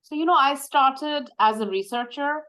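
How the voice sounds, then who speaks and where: A woman's voice: high-pitched, fairly bright tone. Reflective woman from India. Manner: reflective, measured, with frequent fillers. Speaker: guest, medical device executive. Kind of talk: conversational interview.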